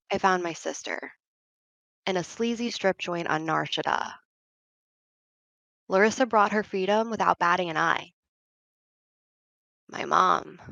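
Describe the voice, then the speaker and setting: A woman's voice: medium pitch, creaky, a bit bright, dry recording, muffled recording. A woman playing a frank, worldly, reflective female companion in an audio drama, speaking plainly like a storyteller.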